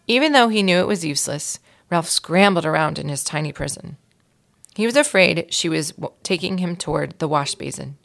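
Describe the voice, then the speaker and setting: A woman reading a children's story aloud in an expressive storytelling style, with a creaky voice. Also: low-pitched, normal speed. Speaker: volunteer reader of a children's book.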